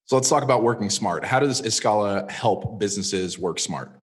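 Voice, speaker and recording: A man's voice: fairly low pitch, animated, normal speed. A man hosting a business podcast, opening the episode upbeat and asking casual questions. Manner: upbeat intro, then casual questions with fillers. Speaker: podcast host.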